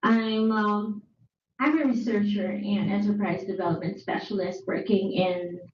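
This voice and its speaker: A woman's voice: medium pitch, fairly even intonation, measured pace, a little hiss or hum. Woman from the Philippines introducing herself. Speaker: panelist, researcher. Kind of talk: self-introduction on a panel. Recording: echoey room, dull sound.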